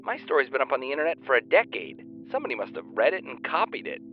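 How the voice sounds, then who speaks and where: A man's voice: mid-range voice, huge pitch swings, racing, faint music behind. A man playing a nervy, indignant superfan and fan fiction writer on a phone call in an audio drama. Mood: defensive. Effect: phone line filter. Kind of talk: naturalistic audio drama dialogue. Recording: tinny narrow phone line.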